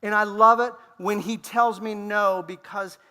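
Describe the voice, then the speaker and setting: A man preaching a sermon in English in short phrases, pausing for a live interpreter in a church. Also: sits high, fairly even intonation, bright, forceful tone, clean tone. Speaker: guest preacher. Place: church sanctuary. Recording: long church hall reverb.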